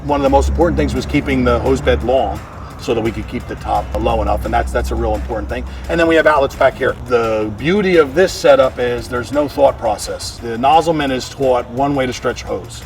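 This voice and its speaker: A man's voice: mid-range voice, fairly quick, far from the mic, soft background music. An American man, a fire chief, explaining equipment in a practical, plain-spoken way during a walk-through. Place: outdoors around a fire truck.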